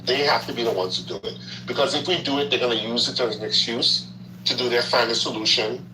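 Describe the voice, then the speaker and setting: A man's voice: mid-range voice, quick pace, noisy background. A man co-hosting a livestream in casual political chat, speaking emphatically, repeating his points and dropping in slangy asides. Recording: roomy echo.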